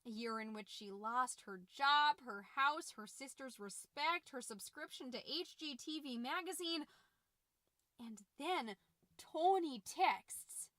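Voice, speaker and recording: A chatty suburban mom played by a woman speaks in a high voice, close and dry. She delivers a scripted comedy podcast monologue with folksy, theatrical flair and drawn-out emphasis on choice words. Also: bright, forceful tone, no room sound, exaggerated voice. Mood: chirpy, dramatic. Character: chirpy, chatty, dramatic suburban mom hosting book-club podcast. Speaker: podcast host.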